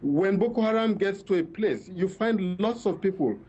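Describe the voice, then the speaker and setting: A man's voice: high voice, lively intonation, normal speed, warm tone, breathy edge, highs cut off. A man with a Nigerian accent, a journalist explaining in a live news interview, often repeating words as he searches for phrasing. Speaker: journalist reporting by phone. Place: remote phone call.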